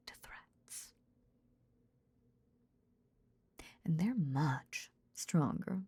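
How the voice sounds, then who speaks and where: Woman acting a breathy, slow, possessive serpent woman. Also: sits low, warm tone, no echo, heavily acted. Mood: quietly menacing. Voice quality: breathy, half-whispered. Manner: slow, soothing, seductive murmur. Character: possessive female lamia, tender nurse with veiled menace. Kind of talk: intimate roleplay ASMR audio drama.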